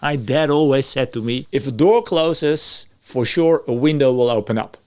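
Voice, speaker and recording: A man's voice: mid-range voice, lively intonation, normal speed, darkish tone, clear, resonant tone. A man, hosting a reaction video and giving earnest advice. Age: 50s. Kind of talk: reflective commentary.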